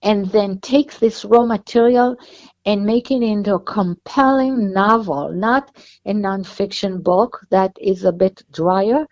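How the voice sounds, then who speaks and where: A woman's voice: medium pitch, unhurried, darkish tone, lo-fi sound. A woman with an Israeli accent, an author guest talking at length in an interview. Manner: chatty, explains at length with restarts.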